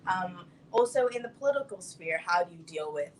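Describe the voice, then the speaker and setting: A woman's voice: lowish voice, expressive, brisk, rough recording. A young woman speaking as an activist guest, informally announcing and explaining in youthful, casual phrasing. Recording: faint background ambient noise.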